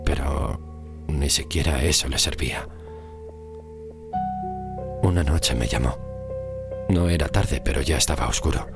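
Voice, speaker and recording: A man narrating a scripted horror story in the first person, in a deliberate, hushed delivery with dramatic pauses. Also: rumbling low, creaky. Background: music under the voice.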